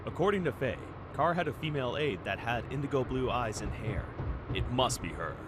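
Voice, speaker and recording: A man playing a grave, loyal first officer in a fan radio play, speaking in a measured, formal way. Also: mid-range voice, lively intonation, noisy background, close to an everyday voice. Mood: troubled, confiding. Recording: music behind the voice.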